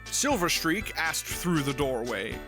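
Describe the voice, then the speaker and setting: A woman's voice: sits low, big pitch swings, a little slow, over music. A woman reading scripted storybook narration.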